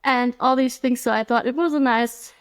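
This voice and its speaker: A woman's voice: fairly high pitch, steady tone, normal speed, clean tone. A woman in her twenties from Germany, a guest telling her story in a casual interview, chatty, with frequent ums and little laughs. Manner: chatty, laughs often, many ums and likes.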